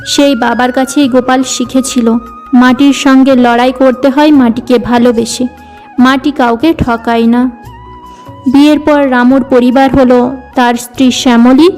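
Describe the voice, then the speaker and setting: A woman's voice: high voice, a little mellow. A woman reading scripted narration for a cartoon story as its storyteller.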